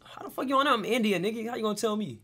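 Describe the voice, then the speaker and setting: A man's voice: high-pitched, animated, very fast, bright, forceful tone. A young man streaming video chats with strangers, talking in laid-back slang and teasing. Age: young adult. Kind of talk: casual video chat. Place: bedroom with purple lights.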